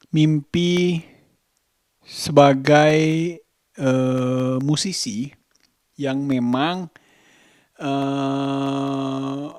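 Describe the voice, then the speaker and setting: A man talking casually in an unscripted vlog, halting often as he searches for words. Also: mid-range voice, no room sound, clean studio sound. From Indonesia. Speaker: vlogger and musician.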